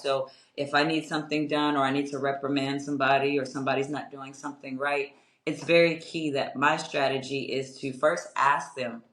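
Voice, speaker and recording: A young adult woman with a strong voice, answering interview questions in a measured, reflective way with many fillers. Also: a bit bright. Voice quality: strong, low-ish voice. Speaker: guest, construction company owner. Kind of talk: interview conversation. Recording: echoey room.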